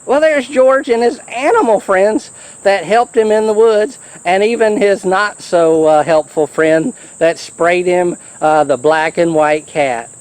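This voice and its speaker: A person reading a children's picture book aloud in an animated, chatty way, with playful asides to the listener. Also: mid-range voice, unhurried. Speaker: storybook reader.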